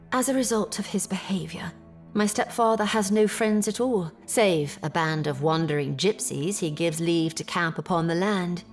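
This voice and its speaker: An actress playing a troubled young Victorian gentlewoman, telling her story formally in an audio drama. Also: on the low side, measured pace, faint music behind. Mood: troubled, sighing. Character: distressed Victorian English gentlewoman recounting family misfortune. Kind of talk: period audio drama acting, narrative monologue.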